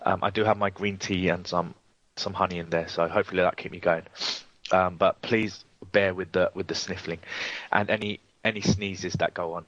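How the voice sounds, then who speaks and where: A man's voice: deep voice, steady tone, moderate pace, a bit bright, dry recording. A man with a cold, presenting a live trading webinar with frequent ums and restarts. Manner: hesitant, many ums and restarts. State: has a cold, sniffly. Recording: dry, dull lo-fi webinar audio.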